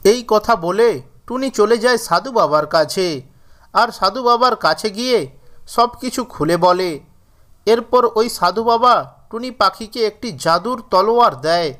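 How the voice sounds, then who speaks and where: High-pitched man narrating a children's story. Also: lively intonation, a little slow, a bit bright.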